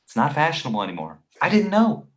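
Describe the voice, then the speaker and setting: A man's voice: fairly high pitch, wildly swooping pitch, quick pace. A man acting a blunt, slangy friend glued to his phone in a table read. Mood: alarmed.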